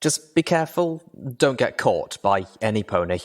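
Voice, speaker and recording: Storybook heroine: young mare, pitched up, breathless and urgent, worn out yet determined , performed by a male reader. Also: big pitch swings, normal speed. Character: young female pony, exhausted, hurt, determined.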